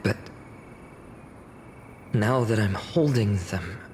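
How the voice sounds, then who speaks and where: A man's voice: lowish voice, very slow, a little mellow, a little hiss or hum. A man playing an earnest, doubtful young village hero in a fantasy video game, with a hesitant, musing delivery. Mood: solemn, musing. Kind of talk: English video game voice acting. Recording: long hall reverb under music.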